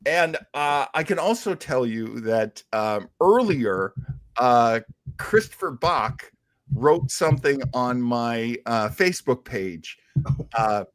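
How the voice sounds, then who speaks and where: A man hosts a casual storytelling show in a very animated voice. He takes his time, leaning on points with deliberate repetition. The sound is close and dry. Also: medium pitch, dry recording. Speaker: radio show host.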